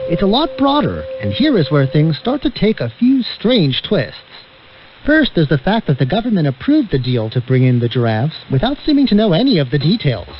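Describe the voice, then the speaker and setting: Animated male radio reporter, muffled shortwave sound. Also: fairly high pitch, moderate pace. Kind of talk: scripted radio feature narration. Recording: muffled narrowband shortwave radio, music behind. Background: music.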